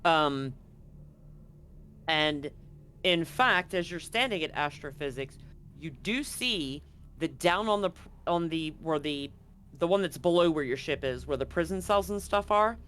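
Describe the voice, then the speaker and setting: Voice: medium pitch, lively intonation, unhurried, a bit bright, a little hiss or hum. A game master explaining a tabletop roleplaying game in a conversational, explanatory way.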